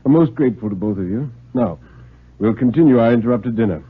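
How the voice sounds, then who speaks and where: A man voicing a composed, courteous titled gentleman investigator, formal and measured, in a radio thriller reading. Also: mid-range voice, lively intonation, slightly rough. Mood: quietly satisfied. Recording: lo-fi 1950s radio recording.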